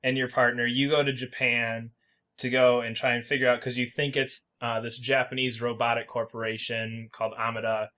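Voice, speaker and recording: Male podcast host, mid-pitched, chatty and informal, talks through explanations with casual emphasis. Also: little pitch movement, normal speed, sharp tone. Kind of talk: casual video game review chat.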